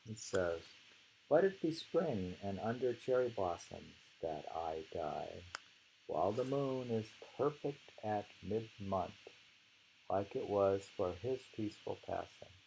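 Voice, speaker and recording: A man with a very low, creaky voice reads aloud and comments informally. He is halting and musing, often pausing. The recording has some ambient room noise. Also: expressive, a little mellow, low-quality recording. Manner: halting, musing, with pauses and hums. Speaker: reader and discussion host.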